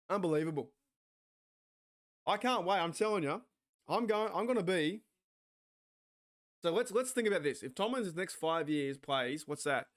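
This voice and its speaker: An Australian man hosting a sports watch party stream, rambling excitably, swearing and talking back to his chat. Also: high voice, measured pace, long pauses. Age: 40s.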